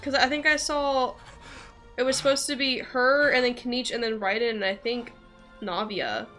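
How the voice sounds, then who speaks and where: A woman game streamer chatting casually with viewers, rambling and thinking out loud. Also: a little high, moderate pace, faint music behind.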